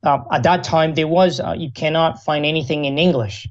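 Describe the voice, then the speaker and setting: Middle-aged Taiwan-born man, halting speech. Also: on the high side, highs cut off. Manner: slow, halting speech with many fillers. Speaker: guest, Buddhist monk. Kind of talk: interview answers.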